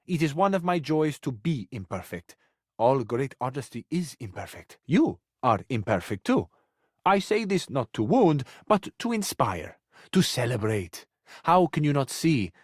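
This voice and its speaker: A man's voice: mid-range voice, big pitch swings, measured pace, dry recording, clean studio sound. A man playing a passionate, sensitive living cabinet in a video game, with an Italian accent and florid, theatrical, romantic delivery. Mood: indignant, impassioned. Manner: florid, dramatic, wounded romantic phrasing.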